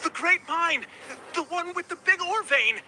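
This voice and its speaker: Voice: very high-pitched, a little slow, pushed and bright, slight background noise, full character voice. A performer playing a frightened young townsperson in a video game, stammering in panic. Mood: desperate pleading. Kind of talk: dramatic video game voice acting.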